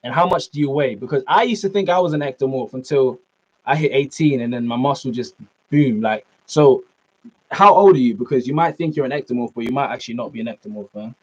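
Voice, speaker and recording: A young man with a British accent talks casually to viewers on a live stream, relaxed and chatty, often tossing in man and bro. His voice sits at a middle pitch and comes through a laptop mic with a slightly dull, lo-fi sound. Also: quick pace. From Norway, raised in the UK. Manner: laid-back, chatty, answers viewer comments, says man and bro a lot. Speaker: fitness streamer.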